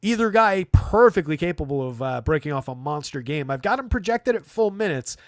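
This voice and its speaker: Higher-voiced man talking sports analysis. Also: expressive, a little fast, dry recording, studio quality. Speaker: host of a daily fantasy basketball live stream. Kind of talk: live unscripted sports analysis with chat replies.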